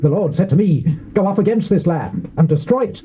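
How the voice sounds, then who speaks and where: Boastful, threatening envoy, high swooping British voice. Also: male voice, expressive, fairly quick, dark tone. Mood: scornful challenge. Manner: declamatory, taunting, archaic oratory. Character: boastful, threatening Assyrian envoy shouting to a besieged city. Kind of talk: theatrical dramatized scripture reading. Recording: muffled old cassette with background hiss.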